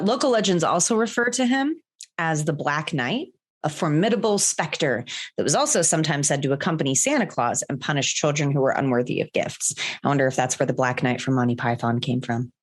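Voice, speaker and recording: Woman, low voice, podcast co-host, casual storytelling, laid-back, swears, laughs a lot.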